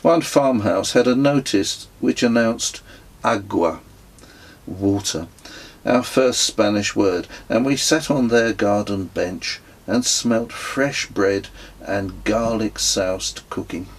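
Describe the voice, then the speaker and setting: A middle-aged man reading his own travel memoir as scripted narration, in a measured, reflective way, with a husky voice. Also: on the low side.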